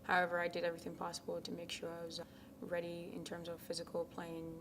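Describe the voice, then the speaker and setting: Soft-spoken young woman, low flat voice. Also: fast talker. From Ghana. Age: young adult. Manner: soft-spoken, many ums, drops to near whispers. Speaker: college tennis captain. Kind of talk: casual interview answers. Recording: noisy background.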